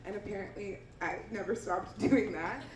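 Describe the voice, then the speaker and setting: A woman's voice: medium pitch, moderate pace. A woman in her thirties from the Bay Area, a filmmaker talking on a live panel in a chatty, thankful way with many restarts. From San Francisco Bay Area. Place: theater stage before an audience. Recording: echoey hall, audience noise, far mic.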